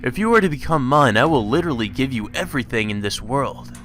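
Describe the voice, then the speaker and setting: A man's voice: medium pitch, animated, fast, natural voice. A man voicing an arrogant, contemptuous king in a visual novel, speaking in a haughty, stately, condescending way. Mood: grand, possessive. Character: arrogant, contemptuous male king who threatens and demands. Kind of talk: visual novel dialogue read aloud. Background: game music.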